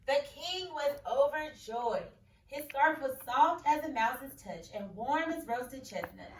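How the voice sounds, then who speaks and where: A woman's voice: high-pitched, distant mic, slight background noise. A woman teacher reading a picture book aloud to her class, stopping to ask them questions. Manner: expressive storybook reading with pauses for questions. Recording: echoey room, voice from off-mic.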